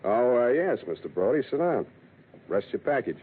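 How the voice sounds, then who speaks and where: An animated, wisecracking American gumshoe, played by a man in a forties radio drama. He is glib and sardonic, with lively swings of intonation. The old broadcast sounds thin and clearly noisy. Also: medium pitch, moderate pace, phone-quality sound, close to an everyday voice. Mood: dry, wry. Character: wisecracking, hard-boiled male private detective. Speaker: radio actor playing the lead detective. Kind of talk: 1940s radio detective acting. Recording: noisy, band-limited old radio recording.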